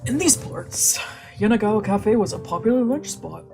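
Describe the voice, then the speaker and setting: A woman's voice: mid-range voice, lively intonation, normal speed, gravelly, music in the background. A woman streamer reading a visual novel aloud, mixing narration with joking asides. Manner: reads narration, then drops into joking asides. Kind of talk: casual read-aloud with chatty asides.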